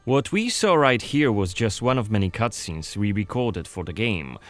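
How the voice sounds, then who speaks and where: A man's voice: fairly low pitch, no echo. A man presenting a game in scripted voice-over narration. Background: faint music.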